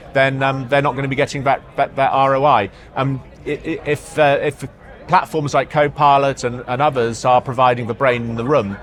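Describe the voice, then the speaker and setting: A man speaking as an executive in a product interview, halting, often repeating words and hesitating as he explains. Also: mid-range voice, a bit bright. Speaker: marketing executive being interviewed. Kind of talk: product interview at a trade show. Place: trade show floor.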